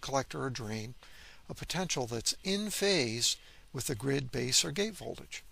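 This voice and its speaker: A man with a heavily creaky, bright voice delivers scripted technical narration. The voice is husky at the edges, and he explains step by step in a measured, didactic way. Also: mid-range voice. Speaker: electronics instructor.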